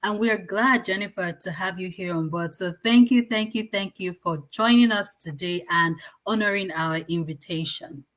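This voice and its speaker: Female host for a casual online interview show: medium-pitched, chatty, encouraging. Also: moderate pace, few pauses, fairly bright tone.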